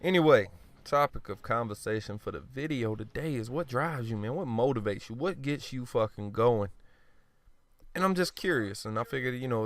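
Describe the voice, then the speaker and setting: A man with a lowish, smooth and resonant voice gives casual commentary over a video game. He rambles bluntly and swears freely, joking at his own expense and clearing his throat often. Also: dry recording. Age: adult. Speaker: gaming commentator.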